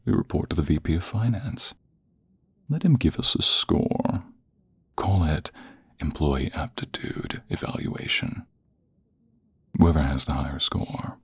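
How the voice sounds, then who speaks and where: Guy doing a cocky seductive office rival asmr thing, super low gravelly voice, breathy and teasing, slow drawn out flirting. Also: no room sound, full character voice. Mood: competitive, playful. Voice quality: very deep, husky, breathy half-whisper. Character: smug, seductive male office rival in a suit. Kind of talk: ASMR romantic roleplay, close and intimate.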